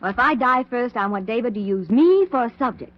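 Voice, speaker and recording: An actress playing a scientist's devoted, zealous wife in a 1940s radio drama, ardent and persuasive, with proper diction. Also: female voice, on the high side, lively intonation, fairly quick, lightly acted. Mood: fervent. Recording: dull 1940s radio recording.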